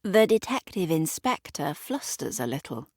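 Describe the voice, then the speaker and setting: A woman narrating a literary audio drama in a deliberate, dramatic storytelling manner. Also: low voice, very animated, breathy edge, dry recording, clean studio sound.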